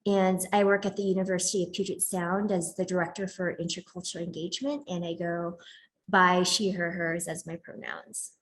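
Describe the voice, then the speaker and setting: A woman from Southern California, a university director, calmly introducing herself on a panel. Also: lowish voice, moderate pace. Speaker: intercultural engagement director.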